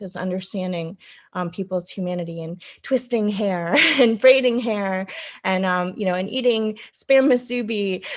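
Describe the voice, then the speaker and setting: A woman from the United States answering interview questions conversationally, reflective and halting as she pieces her thoughts together in short phrases. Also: lowish voice. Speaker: interview guest, city councilwoman.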